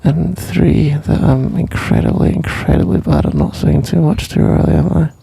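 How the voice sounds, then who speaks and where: A man with a British accent playing a tender, sleepy boyfriend in an ASMR roleplay, soft-spoken and breathy, speaking haltingly and reassuringly. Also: a little high, wildly swooping pitch, dry recording, heavily acted.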